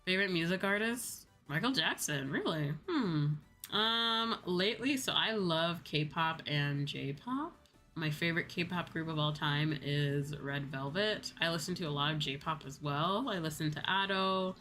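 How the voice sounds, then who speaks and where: Sleepy young woman, low-pitched, livestream chat. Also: pushed and bright. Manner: rambling, drawn-out, repeats phrases playfully. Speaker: VTuber livestream host. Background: background music.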